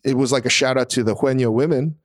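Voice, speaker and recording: Rambling podcast co-host, swearing freely. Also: male voice, medium pitch, fairly even intonation, fast. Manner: rambling, swears often, many restarts. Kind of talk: casual chat about a TV show.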